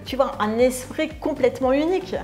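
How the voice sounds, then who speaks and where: A middle-aged woman co-hosts a conversation in a somewhat high voice. She speaks reflectively, searching for words, while music plays behind her. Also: fairly quick, a little mellow. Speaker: co-host, artistic director. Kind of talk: conversational interview.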